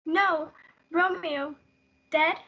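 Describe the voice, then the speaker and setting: Casting: desperate, heartbroken teenage girl played by a female student, very high voice, school play reading, lo-fi audio. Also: steady tone, drawn-out words, fairly bright tone, exaggerated voice. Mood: distraught. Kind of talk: school reader's theatre performance. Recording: dull lo-fi audio.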